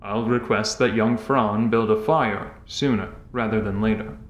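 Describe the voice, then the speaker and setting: A man's voice: lowish voice, steady tone, measured pace, slight background noise, close to an everyday voice. An actor playing a courteous, weary male warrior in an audio drama, speaking in formal, archaic phrases. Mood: mildly reproving. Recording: roomy echo on the voice.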